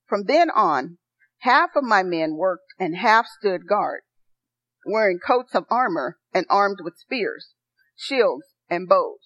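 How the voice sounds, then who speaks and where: A soft-spoken woman pastor preaching a sermon, earnest and rising to excited encouragement. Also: mid-range voice, no room sound. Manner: earnest, building to excited exhortation. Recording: dry, dull lo-fi sound.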